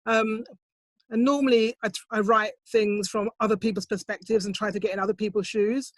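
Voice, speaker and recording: A middle-aged woman poet answering interview questions thoughtfully, in a measured, unhurried way on a video call. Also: mid-range voice, steady tone, no room sound. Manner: thoughtful, measured, with soft hesitations. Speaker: poet, competition winner. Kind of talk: online interview, reflective answers. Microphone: computer mic on a video call, set quiet.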